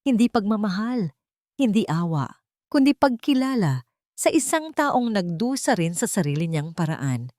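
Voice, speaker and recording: A woman's voice: medium pitch, sing-song, normal speed, a little mellow, dry recording, studio quality. A woman with a Filipino accent narrating a scripted, dramatic first-person story.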